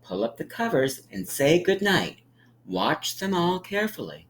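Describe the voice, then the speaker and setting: Man, children's read-aloud, highly varied pitch, unhurried pace, encouraging teacher manner, emphatic pauses. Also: very animated, a little hiss or hum. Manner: encouraging, inviting listeners to count along; drawn-out, emphatic storytelling delivery with dramatic pauses. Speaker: teacher reading a picture book aloud to preschoolers. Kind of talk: animated children's read-aloud with friendly asides.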